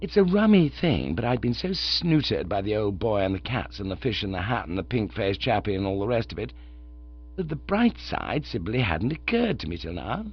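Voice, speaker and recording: A man voicing an excitable young gentleman, slangy and exclaiming. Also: lowish voice, moderate pace, slightly rough, highs cut off, full character voice. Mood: flustered.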